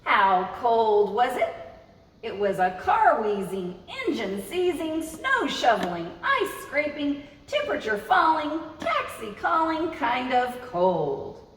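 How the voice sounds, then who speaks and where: A woman's voice in a roomy, echoing space, picked up from a distance with some noise. She reads aloud for children as a storytime host, with very lively swings in pitch. She addresses her young listeners directly and expressively. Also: unhurried.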